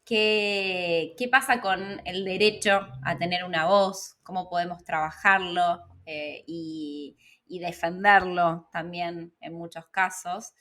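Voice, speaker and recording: A woman's voice: fairly low pitch, steady tone, takes it slowly, fairly bright tone. A woman hosting a conversational interview, asking the questions. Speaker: interview host.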